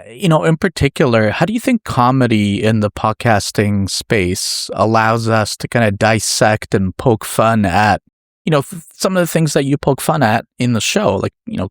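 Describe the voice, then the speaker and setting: A man hosting a podcast interview, asking conversational questions in a measured way and softening them with hedging phrases. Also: mid-range voice, dry recording, clean studio sound.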